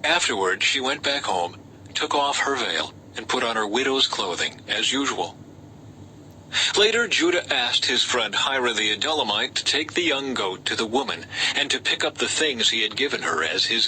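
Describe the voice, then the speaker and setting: A man reads a Bible story as scripted narration, in a measured storytelling way with lightly dramatized dialogue. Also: medium pitch, bright, forceful tone, low-quality recording. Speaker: audiobook-style scripture reader. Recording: faint background noise.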